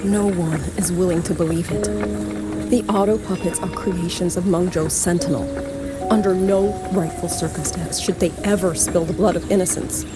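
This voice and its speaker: A woman plays a careworn but composed female military commander in a video game. Her voice is on the low side, grave and deliberate, with formal wording. Music is prominent underneath. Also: steady tone, close to an everyday voice. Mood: grave, disbelieving.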